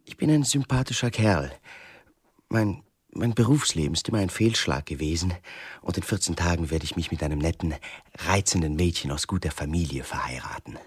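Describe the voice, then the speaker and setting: A man's voice: sits low, lively intonation, brisk, very noisy. An actor playing a nervous, fussy, likeable man who is edgy and self-deprecating, in a German radio play. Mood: tense, earnest.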